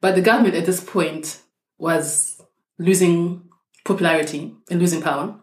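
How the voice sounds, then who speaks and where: A woman's voice: lowish voice, steady tone, normal speed. Woman from Zimbabwe, echoey room. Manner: thoughtful, explains at length with restarts. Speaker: interview guest, doctor. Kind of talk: casual interview conversation.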